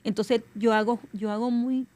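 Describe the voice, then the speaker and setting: Lady podcast host, curious and playful, bit high-pitched, dry close sound. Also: fairly even intonation, normal speed, darkish tone, dry recording. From Cibao region, Dominican Republic. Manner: chatty, inquisitive, playful. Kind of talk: casual interview chat.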